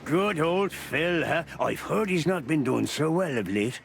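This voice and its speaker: Casting: jovial old beaver news vendor, streetwise uncle type, swinging high-pitched delivery, noir game. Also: male voice, expressive, measured pace, vocal fry, slight background noise. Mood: knowing. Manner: folksy, chuckling, avuncular. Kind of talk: noir adventure game voice acting.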